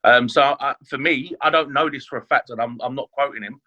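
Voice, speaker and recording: A man's voice: medium pitch, a little fast, pushed and bright, lo-fi sound. A man, chatting about his career as a chef in an interview, with many fillers and restarts. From born in Leeds, grew up in London. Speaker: chef, podcast guest. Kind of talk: casual interview chat.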